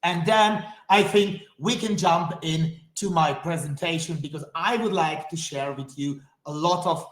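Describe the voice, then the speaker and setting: A man's voice: a little high, unhurried, hardly any pauses, reverberant. A man from Hungary, a native Hungarian speaker with a Hungarian accent, giving an enthusiastic, friendly online webinar presentation and speaking straight to his audience.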